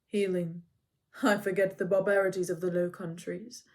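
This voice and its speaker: A woman voicing a stern ancient male centaur teacher who speaks in a neutral, calm and factual way. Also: medium pitch, normal speed, natural voice. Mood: measured.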